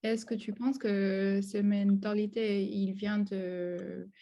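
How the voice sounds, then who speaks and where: A woman asks her questions slowly and hesitantly, restarting often. Her voice has a gravelly edge, and her pitch sits in a middle range. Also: little pitch movement, dull audio. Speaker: interviewer. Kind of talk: student research interview.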